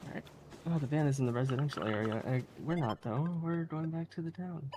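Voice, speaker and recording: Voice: mid-range voice, a little fast, darkish tone. A speedrunner giving casual live commentary on a game, often giggling while talking. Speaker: speedrunner playing and explaining a game. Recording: clearly noisy live stream audio.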